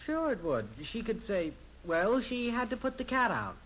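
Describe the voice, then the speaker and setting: A teasing American teenage boy in a 1950s radio sitcom. He is defensive and wisecracking, his pitch jumping about, on a muffled old recording. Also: sing-song, moderate pace, a bit bright, slight background noise, full character voice. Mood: grumbling.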